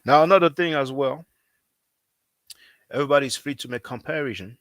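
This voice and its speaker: A man at a middle pitch speaks English as a second language and talks to his viewers in a loose, chatty way. He circles back on his phrases and leans on fillers. The sound is dry and close, with almost no room around him. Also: fairly quick, fairly bright tone, no echo. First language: not English. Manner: repetitive, conversational, frequent fillers. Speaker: language teacher. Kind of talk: casual promotional talk.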